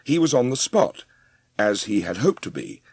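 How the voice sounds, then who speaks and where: A man giving an expressive scripted narration of a storybook. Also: on the low side, moderate pace, husky, no room sound.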